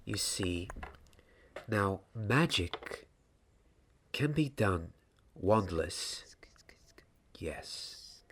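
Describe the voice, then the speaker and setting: A young man playing a gentle, mysterious wizard wand maker in a soft, half-whispered ASMR roleplay, speaking deliberately in short phrases. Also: lowish voice, animated, exaggerated voice. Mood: instructive, reverent. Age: 20s. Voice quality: hushed, breathy, half-whispered. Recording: audible background hiss, small clicks.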